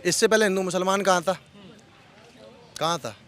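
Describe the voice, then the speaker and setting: A man's voice: high-pitched, normal speed, a bit bright. A man being interviewed outdoors in a heated street debate, arguing with repeated phrases and rhetorical questions.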